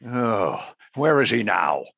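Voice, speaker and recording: A man's voice: lowish voice, big pitch swings, takes it slowly, gravelly, fairly bright tone, breathy edge, dry recording, clean studio sound, heavily acted. A man playing an exasperated, bossy intelligence chief in a radio play, blustering. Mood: incredulous.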